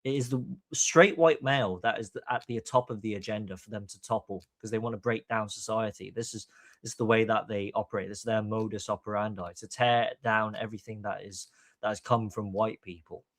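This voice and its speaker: A man's voice: on the low side, fairly even intonation, fairly quick, no room sound. A man from Hong Kong hosting a podcast, giving opinionated commentary in an emphatic, long-winded way. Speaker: podcast host.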